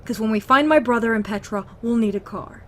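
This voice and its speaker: A woman's voice: a little high, brisk, natural voice. Woman playing a tough, blunt young woman. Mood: confrontational. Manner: challenging, blunt.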